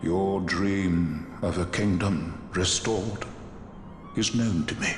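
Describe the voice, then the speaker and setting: Ancient serene game seer, really slow n solemn, big echoey hall, music behind. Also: male voice, sits low. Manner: solemn, measured, cryptic. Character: serene ancient male holy seer who dreams. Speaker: video game voice actor. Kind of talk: scripted game cutscene acting.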